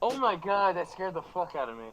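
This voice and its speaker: A man's voice: sits high, very animated, brisk, a crowd in the background, poor audio. A man hosting a reaction video, giving casual running commentary.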